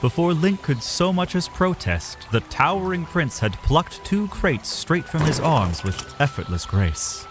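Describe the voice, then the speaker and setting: Animated male narrator over music. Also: on the high side, unhurried, hardly any pauses. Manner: literary storytelling. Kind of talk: scripted dramatic story narration.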